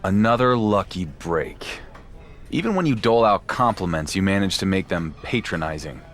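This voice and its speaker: An actor playing a proud, sarcastic wrestling champion with a dry manner in a video game cutscene. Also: male voice, sits low, animated, moderate pace. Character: wry, proud male pro wrestling champion.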